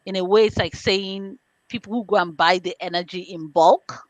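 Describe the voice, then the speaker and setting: A Nigerian-accented woman with a fairly low voice, recorded close and dry. She speaks as an interview guest, explaining conversationally in plain, step-by-step terms. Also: steady tone, moderate pace, dry recording. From born in London, grew up in Nigeria. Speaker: interview guest, information governance specialist.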